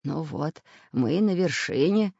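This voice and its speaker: An older male professor in a storybook read by a woman, weary and anxious. He speaks gently, puffing a little, in a dry, slightly muffled recording. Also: low-pitched, expressive, measured pace, creaky, husky, no echo, full character voice. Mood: relieved. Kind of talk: children's storybook character voice.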